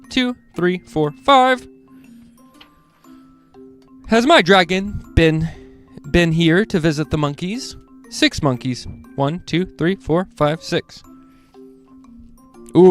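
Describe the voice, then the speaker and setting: A man hosting a children's read-along, reading picture books aloud in an animated way and speaking directly to young listeners. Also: sits high, slow pace, pauses often, faint music behind.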